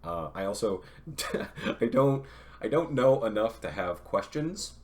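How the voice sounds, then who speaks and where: Low-voiced young man reading aloud. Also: expressive, a little mellow. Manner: unhurried reading broken by chatty, self-correcting asides. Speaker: streamer reading a novel aloud. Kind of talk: reading a classic novel aloud with casual asides to chat.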